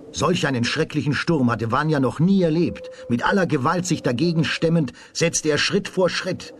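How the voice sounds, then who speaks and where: A man with a husky voice narrating a children's story with dramatic emphasis. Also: medium pitch, a little hiss or hum. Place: dry studio.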